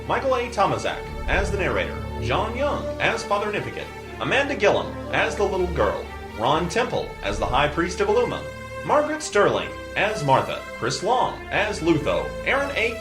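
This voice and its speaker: A man's voice: high voice, wildly swooping pitch, normal speed, music in the background. A man reading scripted end credits for an audio drama in an emphatic announcer's manner.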